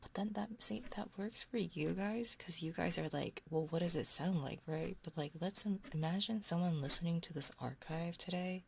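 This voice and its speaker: A woman hosting an ASMR livestream, chatting casually in a soft, hushed voice that often slips into whispers, close to a binaural microphone. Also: on the low side, moderate pace, creaky, hardly any pauses, husky. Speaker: livestream host. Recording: close binaural capture with faint noise. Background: faint noise, soft clicks of a ticking clock.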